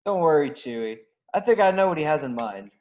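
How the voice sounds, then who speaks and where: Man playing a cocky smuggler, swooping pitch, lo-fi. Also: animated, a little fast, a little mellow, close to an everyday voice. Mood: wry. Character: cocky, skeptical male smuggler, gruff but improvising nervously. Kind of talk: table-read acting. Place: virtual call from separate location. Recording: dull lo-fi video-call audio.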